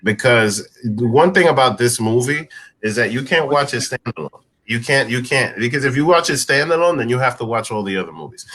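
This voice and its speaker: A man with an average-pitched voice argues casually as a panelist on a film review show. He is insistent, often halting and repeating phrases to get his point across. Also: animated, fairly bright tone, breathy edge, low-quality recording. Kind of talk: casual debate with friends.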